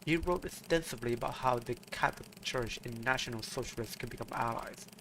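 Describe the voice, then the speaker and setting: A mysterious, grave caller, read aloud by a man who speaks in solemn, measured phrases. The voice is gravelly and sits at an ordinary middle pitch. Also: background noise, lightly acted. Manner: solemn, measured, reading game dialogue aloud.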